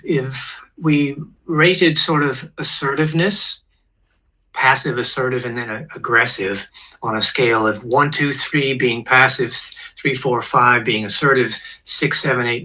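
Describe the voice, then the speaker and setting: A man in his sixties, a therapist, calmly explaining psychological ideas as a guest on an online show. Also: medium pitch, a little slow, rough recording.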